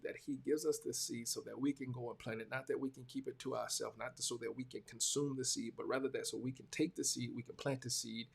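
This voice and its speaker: A Southern American man in his forties with a husky, creaky voice at a middling pitch. He speaks to his audience in an earnest, reflective way, halting and circling back to repeat phrases, like a preacher talking casually. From small-town Georgia, US. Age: 40s. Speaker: preacher hosting a talk show. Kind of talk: casual reflective monologue to viewers.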